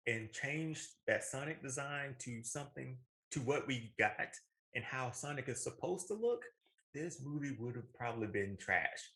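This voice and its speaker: Male podcast co-host, bright forceful voice at middle pitch, casual and emphatic storyteller. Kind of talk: casual opinionated chat about films.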